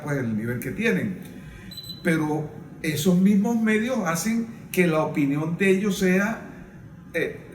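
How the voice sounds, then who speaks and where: A Venezuelan man speaking as a panelist in an unscripted political discussion, explaining in a halting way. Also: a little high. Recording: roomy, distant microphone.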